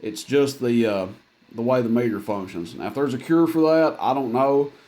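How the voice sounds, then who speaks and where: A man's voice: mid-range voice, moderate pace, warm tone. A man giving a casual hands-on equipment demonstration in a plainspoken, folksy, matter-of-fact way. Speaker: CB radio seller demonstrating equipment.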